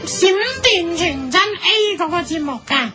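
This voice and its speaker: A woman's voice: very high-pitched, lively intonation, a little slow. A woman playing a polite, teasing mother looking for her son in a comic Hong Kong Cantonese radio drama. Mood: polite, worried. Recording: music playing behind the voice.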